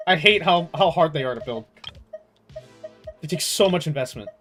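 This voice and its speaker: A young man chatting casually on a game livestream in an animated, slangy way, swearing freely. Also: on the high side, moderate pace, soft background music. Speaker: game streamer.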